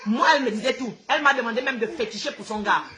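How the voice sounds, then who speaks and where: A woman's voice: on the high side, racing, crowd noise. A woman with a Gabonese accent, a native Fang speaker, ranting live to her followers in an outspoken, combative, repetitive way. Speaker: social media host.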